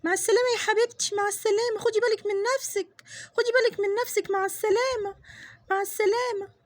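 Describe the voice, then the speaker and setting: A woman's voice: very high-pitched, steady tone, fast. A woman playing a fretful Egyptian mom. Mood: fond. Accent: Egyptian Arabic. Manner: fond, fretful, repeats herself. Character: loving anxious mother, adult woman. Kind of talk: homemade family skit.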